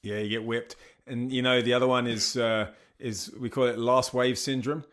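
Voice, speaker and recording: A middle-aged man with an English accent, a charity founder chatting as a podcast guest, relaxed and full of surf slang and fillers. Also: medium pitch, moderate pace. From England. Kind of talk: casual interview chat.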